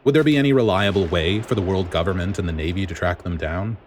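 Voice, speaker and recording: A man reading a story aloud as dramatic scripted narration, in a grave, deliberate manner. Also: low voice, a little hiss or hum. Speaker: podfic reader.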